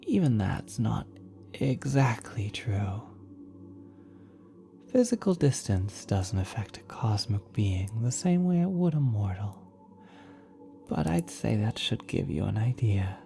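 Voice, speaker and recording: A woman playing a gentle, doting ethereal cosmic being in an ASMR roleplay, speaking softly and breathily, often whispering, tender and reassuring as if to a small child. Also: rumbling low, big pitch swings, takes it slowly, gravelly, heavily acted. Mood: gentle, explaining.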